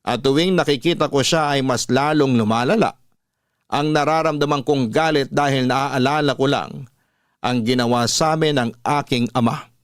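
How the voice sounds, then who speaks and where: A man's voice: medium pitch, fairly even intonation, normal speed, smooth, no room sound, studio quality. A man with a Filipino accent reading a scripted first-person story as a radio storytelling host.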